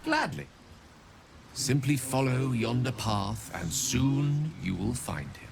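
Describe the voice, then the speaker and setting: A theatrical, obliging messenger from a fantasy game. He is ceremonious and ornate, with a creaky edge and lively swings of pitch, over some noise. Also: slow pace, exaggerated voice. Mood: courteous, ceremonious. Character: courteous formal magical messenger, theatrical and obliging. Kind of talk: fantasy video game dialogue acting.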